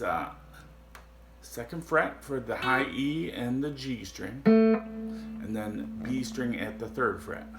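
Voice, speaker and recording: A man with a deep voice giving a casual guitar lesson, explaining slowly with frequent pauses and fillers. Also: creaky. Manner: slow, halting explanations with many fillers. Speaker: guitar teacher. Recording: echoey room with guitar playing.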